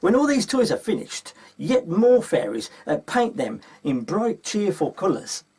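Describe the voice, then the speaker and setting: A man with a husky voice reading a children's story aloud as a storyteller. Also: high voice, sing-song, a little slow, a little mellow.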